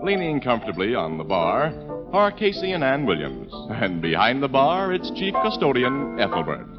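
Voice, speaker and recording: A man narrating a 1940s radio drama, setting the scene deliberately. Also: medium pitch, wildly swooping pitch. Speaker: announcer. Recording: dull old radio recording, loud music.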